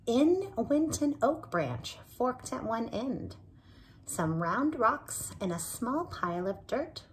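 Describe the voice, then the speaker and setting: Woman teacher, 40s, slow lively read-aloud on a phone camera at home. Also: a little high, a little hiss or hum, low-quality recording. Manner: expressive, slow, talks to listeners as friends. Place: indoors, small room at home.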